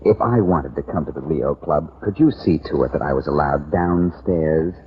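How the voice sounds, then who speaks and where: An actor playing a smooth, teasing detective in a 1940s radio drama. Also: male voice, very deep voice, normal speed, heavily acted. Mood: wry. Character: wisecracking reformed-thief male detective. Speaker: lead detective. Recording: tinny old radio broadcast with faint hiss.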